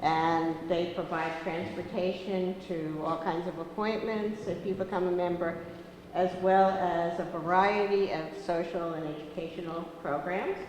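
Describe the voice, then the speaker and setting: A woman hosting a lecture, introducing the speaker with halting, filler-heavy remarks. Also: on the low side, fairly even intonation, darkish tone. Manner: hesitant, many ums and uhs. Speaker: program host introducing a speaker. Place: lecture room with audience. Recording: very echoey room, faint noise.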